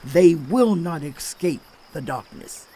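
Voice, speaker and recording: An actor playing a stern aged sage who rebukes and accuses in a deliberate, declamatory dramatized scripture reading. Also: male voice, on the high side, lively intonation, mellow, breathy edge, full character voice. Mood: grave, ominous. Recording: faint outdoor insect ambience.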